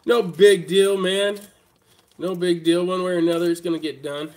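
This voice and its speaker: A man's voice: high voice, fairly even intonation, moderate pace, a little mellow. A man with a stuffy, congested voice from a head cold, chatting casually with live-stream viewers while working at a bench. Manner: laid-back, chatty, talks straight to viewers. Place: hobby workbench.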